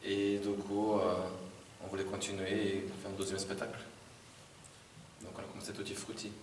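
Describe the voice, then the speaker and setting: A man from Brazil speaks French, plain and hesitant. His voice is lowish and flat. He sounds distant, in an echoey hall with faint noise. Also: moderate pace. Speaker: circus juggler.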